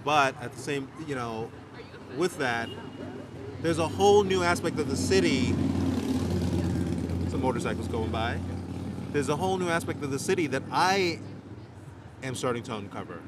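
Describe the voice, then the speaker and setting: A man's voice: medium pitch, lively intonation, normal speed, long pauses. A man who is a native New Yorker, co-hosting a podcast and chatting in a reflective, enthusiastic way at an outdoor city restaurant. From New York. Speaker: podcast co-host. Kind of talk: casual chat. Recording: faint street traffic noise behind.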